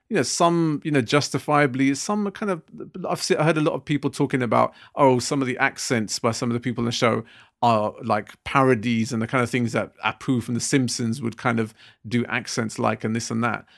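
A man's voice: medium pitch, moderate pace, gravelly, fairly bright tone. A young man co-hosting a casual podcast discussion, thinking aloud and circling back with many restarts and fillers. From Britain. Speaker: podcast co-host.

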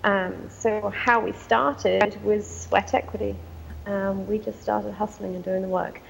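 A woman's voice: medium pitch, fairly even intonation, takes it slowly, gravelly, a little hiss or hum, rough recording. A woman with an English accent, answering interview questions about her business in a conversational way with frequent ums. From England. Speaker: guest, company founder.

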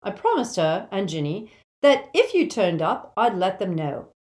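A woman's voice: medium pitch, big pitch swings, measured pace, a little mellow. A woman voicing a determined, indignant teenage schoolboy rebel in an audiobook, speaking with insistent emphasis. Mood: proud, eager. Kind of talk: audiobook character voice.

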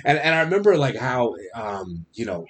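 A man's voice: mid-range voice, normal speed, a little hiss or hum. A man hosting a podcast, talking casually and telling stories with lots of restarts and "you know". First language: not French. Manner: animated storyteller, frequent restarts and "you know". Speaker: podcast host. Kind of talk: casual conversation.

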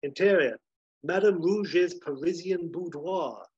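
Man doing a cocky, high-voiced cowboy hero. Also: slow, a little mellow, husky, exaggerated voice. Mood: dramatic. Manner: bold, declamatory. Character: cocky, theatrical male cowboy film hero. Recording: roomy, dull lo-fi recording.

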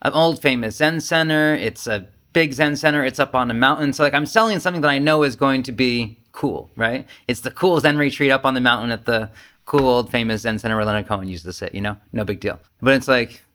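Bright, forceful man talking candidly. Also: medium pitch, brisk. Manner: self-deprecating, profane, animated with asides and imagined quotes. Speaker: Zen teacher leading a group discussion. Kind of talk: casual, candid group talk.

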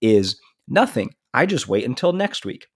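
A man's voice: mid-range voice, very animated, a little slow, no room sound, studio quality. A man co-hosting a casual podcast, sounding nasal from a stuffy nose, upbeat and easygoing. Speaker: podcast co-host, student ministry leader.

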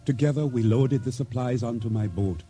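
A very dark, mellow male voice of an actor as a solemn boat owner in a radio play. He tells his tale in a measured, wary way, with faint music underneath. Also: mid-range voice, close to an everyday voice. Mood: fearful, solemn. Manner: deliberate, solemn, measured storytelling. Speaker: narrator and lead actor. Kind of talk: radio drama acting, first-person storytelling.

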